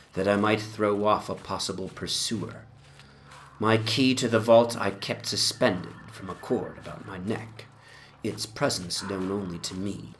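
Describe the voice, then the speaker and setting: Low-voiced male gothic horror narrator. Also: a bit bright, slight background noise. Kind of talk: dramatic reading of gothic horror story.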